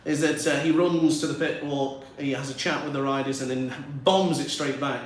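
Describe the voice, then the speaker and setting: A man's voice: medium pitch, fairly even intonation, normal speed, slight background noise. A young man from Lancashire with a Lancashire accent, co-hosting racing commentary in a chatty, jokey way. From Lancashire, England. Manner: chatty, jokey, laughs easily. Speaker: host and co-commentator. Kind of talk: relaxed racing commentary banter. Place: commentary room above a racetrack. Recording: very echoey room.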